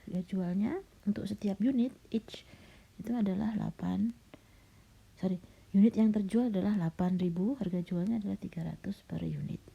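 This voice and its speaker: A middle-aged woman lecturer, slightly hoarse and coughing, patiently walking students through a recorded slide lecture with frequent fillers. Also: medium pitch, normal speed, warm tone. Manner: patient, explanatory, with frequent "nah" and "ya". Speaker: university lecturer. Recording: dull lo-fi recording.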